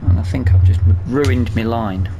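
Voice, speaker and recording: A man's voice: medium pitch, moderate pace, gravelly, muffled recording, over music. A man giving casual video game commentary, rambling and thinking aloud as he asks himself questions. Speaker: let's play streamer.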